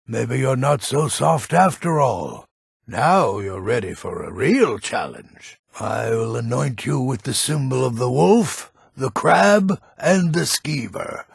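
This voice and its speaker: A man playing a gruff, grumpy but honourable old Nord hunter in a fantasy video game, with a gravelly, husky voice and a weighty, deliberate manner. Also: medium pitch, no room sound, studio quality, heavily acted. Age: older.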